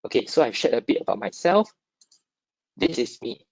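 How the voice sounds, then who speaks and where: A Singaporean-accented man gives an informal webinar talk in a conversational, interactive way, tossing in short check-in questions. His voice sits at a medium pitch, captured dry and slightly dull over an online connection. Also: big pitch swings, moderate pace, creaky, darkish tone, dry recording. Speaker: webinar speaker, stock dealer. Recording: dull lo-fi online call audio.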